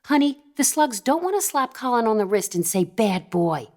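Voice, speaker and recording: A woman's voice: a little high, very animated, normal speed, darkish tone. A woman playing a distraught middle-aged mother in an audio drama, urgent and emotional. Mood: alarmed, distressed.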